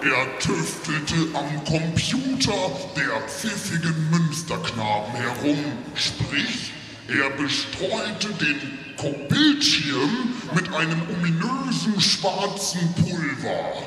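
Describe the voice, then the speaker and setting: A man's voice: fairly high pitch, animated, takes it slowly. A man voicing a declamatory 1990s techno announcer who narrates a comic radio play on a live stage. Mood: mock-solemn. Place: tent stage before festival audience. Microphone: handheld microphone. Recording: echoey live stage with music.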